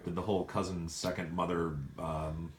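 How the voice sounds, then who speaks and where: A man's voice: sits low, moderate pace. A man talking casually as he brainstorms a script, telling it animatedly and acting out bits of dialogue. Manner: animated storyteller, swears casually. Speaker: writer at an audio comedy script session. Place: indoor room, writing session. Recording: echoey room with faint noise.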